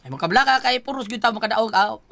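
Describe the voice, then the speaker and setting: A woman's voice: on the high side, lively intonation, fast talker, fairly bright tone. A woman with a Cebuano accent hosting a radio program, chattily giving effusive thanks, greetings and product plugs.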